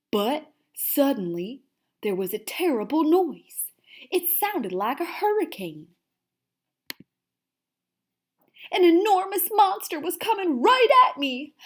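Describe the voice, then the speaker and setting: Woman reading as a dramatic little hamster, very animated. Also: high voice. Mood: fearful, suspenseful. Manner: theatrical, with drawn-out emphasis and hushed asides. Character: excitable, dramatic little hamster telling his diary. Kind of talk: expressive children's read-aloud.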